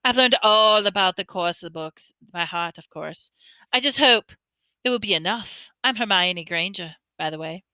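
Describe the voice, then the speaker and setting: Woman voicing a young schoolgirl, bossy, know-it-all, brisk, bright. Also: medium pitch, clean tone, dry recording, close to an everyday voice.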